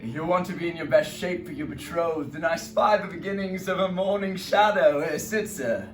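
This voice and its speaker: A man playing a brooding, vengeful barber who is sly and menacing, moving from hushed threats to sudden shouts. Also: on the high side, normal speed, soft background music, natural voice. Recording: echoey room, distant mic, faint noise.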